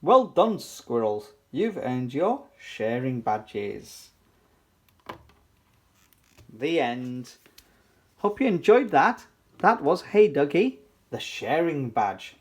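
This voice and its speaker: A children's book reader giving an animated, playful, sing-song read-aloud for toddlers. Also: mid-range voice, very slow, long pauses.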